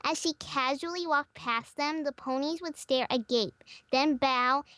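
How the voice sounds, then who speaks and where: A woman's voice: high voice, a little slow, pushed and bright, no room sound. A woman reading a story aloud as an amateur narrator on a podcast, dropping in small asides. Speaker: podcast reader.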